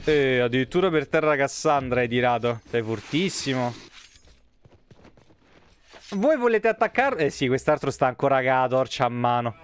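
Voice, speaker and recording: A man's voice: medium pitch, animated, moderate pace, a little hiss or hum. A man, giving blunt, wry, sweary live commentary as he streams a video game. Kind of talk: casual live gameplay commentary.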